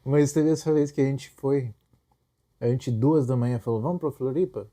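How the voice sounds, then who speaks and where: A man's voice: mid-range voice, moderate pace, warm tone. A young man with a Brazilian Portuguese accent, co-hosting a casual couple conversation in a laid-back way with informal slang. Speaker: podcast co-host.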